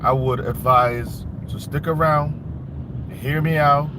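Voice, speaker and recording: A man's voice: fairly high pitch, steady tone, takes it slowly. A man from the Democratic Republic of Congo, chatting casually to livestream viewers and repeating phrases for emphasis. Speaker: livestream host. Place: inside a car while driving. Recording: loud car and road noise. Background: engine and traffic noise.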